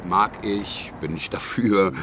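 Low-voiced, sardonic male police detective. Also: slow, fairly bright tone, slight background noise. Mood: scornful. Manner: dry, argumentative. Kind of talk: naturalistic radio crime drama acting.

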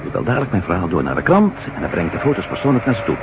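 A headstrong reporter and former astronaut in a radio play. His voice sits low but swings widely as he turns wry or indignant. The old recording is dull, with clear hiss and hum. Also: huge pitch swings, very fast. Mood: sly, gleeful. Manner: indignant, wry, theatrical delivery. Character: headstrong, sardonic male ex-astronaut turned newspaper reporter. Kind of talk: radio drama acting in Dutch.

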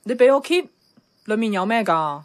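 A woman's voice: fairly high pitch, animated, moderate pace, natural voice. A woman playing a caring, nostalgic young niece who narrates and acts in a radio drama, with a Hong Kong accent. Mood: concerned. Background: music.

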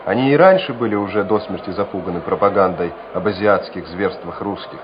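Male narrator, lowish voice, measured literary delivery; muffled old radio recording. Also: noisy background. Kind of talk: scripted dramatic narration.

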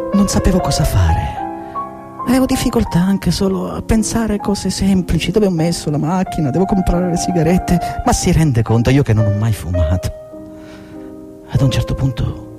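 An unhinged, vengeful sacked employee in a radio play. His pitch lurches dramatically and his delivery is slow and halting, with formal, repetitive phrasing. Music plays clearly behind him. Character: vengeful, desperate, dismissed old employee, unhinged. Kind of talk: theatrical radio drama acting.

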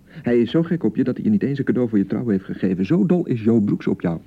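A man acting an excitable young newlywed husband in a radio drama, by turns blustering and awkwardly pleading. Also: mid-range voice, fast, creaky, breathy edge, highs cut off. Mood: jealous, indignant. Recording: thin, band-limited 1990 radio broadcast.

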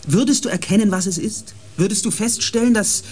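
A man's voice: sits high, fairly quick, darkish tone, breathy edge. A man playing a thoughtful, inquisitive scientist on a space expedition in a radio drama, reasoning aloud with emphasis. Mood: musing, eager.

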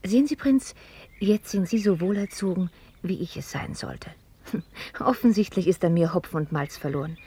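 An actress playing an outspoken young heiress in a radio drama, wry, articulate and playfully self-mocking. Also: female voice, fairly low pitch, moderate pace, mellow, husky. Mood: playfully apologetic. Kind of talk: German radio drama acting.

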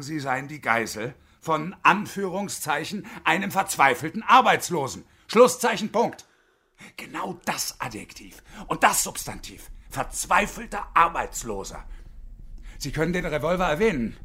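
Sardonic, volatile armed hostage-taker, swinging pitch. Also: male voice, lively intonation, normal speed, pushed and bright, slightly rough, exaggerated voice. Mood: coldly commanding. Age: 50s. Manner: sardonic, domineering, theatrical. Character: bitter, sarcastic unemployed engineer turned armed hostage-taker. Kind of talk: radio drama acting.

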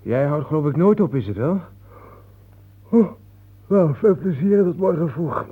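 A man's voice: a little high, animated, measured pace, breathy edge, narrow, tinny audio. An actor playing a down-to-earth, curious male travel companion in a Dutch radio play, blunt and colloquial. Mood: weary, bemused.

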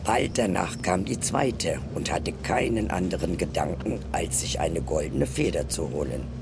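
A man's voice: low voice, unhurried, creaky, over music. A man reading a fairy tale aloud as a storyteller. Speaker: narrator.

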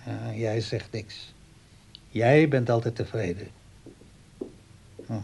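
A brooding old father in a radio drama. His voice is husky and mid-pitched. He talks in a halting, musing way, as if lonely. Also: fairly even intonation, darkish tone, exaggerated voice. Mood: musing, wistful. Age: older. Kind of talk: radio play acting.

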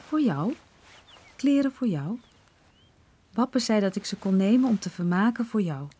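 Motherly middle-aged village woman, mellow, breathy voice. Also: medium pitch, sing-song, unhurried. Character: warm fussing mother Marietje, middle-aged.

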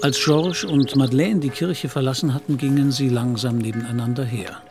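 A man's voice: mid-range voice, steady tone, moderate pace, music in the background. A man narrating a literary radio play.

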